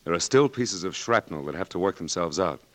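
An actor playing a patient military doctor who steadily reassures a blinded patient, in a 1940s radio drama. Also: male voice, sits low, lively intonation, a little fast, no room sound. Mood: reassuring. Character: calm, patient army eye doctor, firm but kind.

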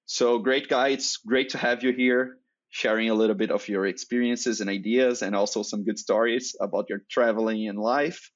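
A man from Brazil, a Portuguese native speaker with a Brazilian accent, hosting a podcast interview in a measured way with frequent "uh" fillers. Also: fairly low pitch, steady tone, highs cut off. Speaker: podcast host. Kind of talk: semi-scripted podcast intro and interview.

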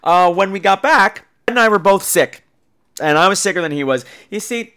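A man's voice: high-pitched, animated, normal speed, pushed and bright, clean tone. A man hosting a podcast in a casual comedic monologue, with a campy, theatrical and emphatic delivery. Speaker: podcast host.

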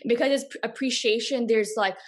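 A woman's voice: a little high, steady tone, fairly quick. A woman, talking casually and passionately as an interview guest, full of "like" fillers. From Boston, US. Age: 30s. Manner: chatty, passionate, many "like" fillers. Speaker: guest, coffee company founder.

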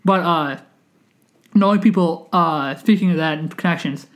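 High-voiced young man chatting earnestly. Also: normal speed, lo-fi sound. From Wisconsin, United States. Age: 20s. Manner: rambling, earnest, many restarts. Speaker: podcast host. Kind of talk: casual chat.

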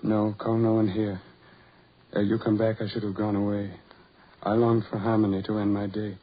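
Husky, despairing man, old radio drama. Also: lowish voice, level tone, normal speed. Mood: wistful, poetic. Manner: theatrical, poetic delivery. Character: despairing, bitter, ugly man who shuns love. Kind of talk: 1940s radio horror drama acting. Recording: muffled old 1940s radio recording.

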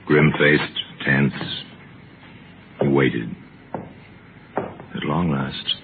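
A man narrating a vintage radio mystery in a wry, confiding, deliberate storytelling manner. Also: very deep voice, steady tone, gravelly, noisy background. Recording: thin, narrow old radio recording, music. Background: dramatic music.

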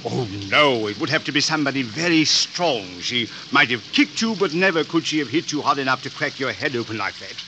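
A man's voice: mid-range voice, animated, brisk, hardly any pauses, lightly acted. An actor playing a scheming, defensive stepfather turned killer in a vintage radio crime drama, with formal, indignant delivery. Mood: feigned innocence. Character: scheming, defensive stepfather, a murderous male estate executor. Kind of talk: 1940s-50s radio drama acting. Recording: noisy old radio drama recording.

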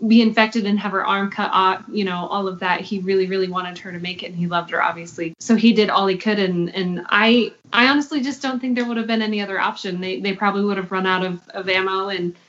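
A woman's voice: mid-range voice, fairly even intonation, fast talker, hardly any pauses, fairly bright tone. A woman giving her thoughts as a podcast guest in a casual, earnest and thoughtful way. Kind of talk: casual discussion. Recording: dull, lo-fi audio.

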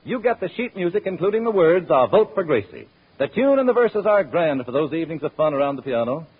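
An American man delivers a vintage radio sales pitch with polished emphasis. His voice sits fairly high and swings with lively intonation, slightly husky, through the narrow, muffled sound of an old broadcast. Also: fairly quick. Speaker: radio announcer. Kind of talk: 1930s-40s radio advertising pitch.

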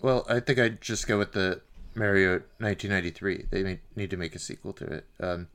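A man's voice: deep voice, steady tone, brisk, fairly bright tone, smooth. A man co-hosting a casual film podcast, talking in an easygoing, somewhat hesitant way. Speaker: podcast co-host.

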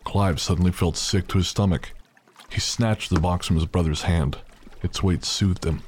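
A man narrating an audio drama in a measured, somber storytelling manner. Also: low-pitched, fairly even intonation, a little mellow, a little hiss or hum. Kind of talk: scripted narration.

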